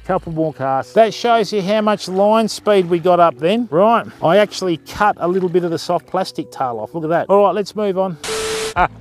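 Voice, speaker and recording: A man's voice: high voice, expressive, moderate pace. A middle-aged Australian man giving casual live-stream fishing commentary and tips from a kayak on a river, talking to himself and his viewers. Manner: chatty, self-talking, addresses viewers as people. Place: outdoors on a river, kayak and bank. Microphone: action camera mounted on kayak.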